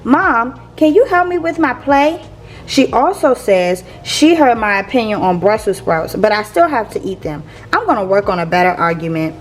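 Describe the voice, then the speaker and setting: A woman reading a picture book aloud to young listeners in a playful, expressive teacher's manner. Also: medium pitch, moderate pace, slight background noise. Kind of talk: expressive children's read-aloud.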